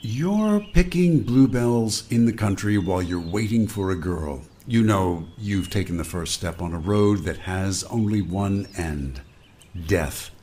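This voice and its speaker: An actor playing the brooding, foreboding inner voice of a doomed man in a radio play, speaking deliberately. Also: fairly low pitch, lively intonation, dark tone, a little hiss or hum. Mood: ominous. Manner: slow, deliberate.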